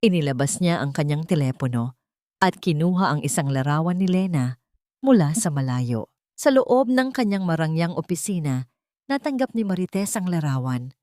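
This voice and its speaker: A woman with a fairly low voice narrates a dramatic story. Her phrasing is solemn and deliberate, and the recording is dry and close. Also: lively intonation, dark tone, dry recording.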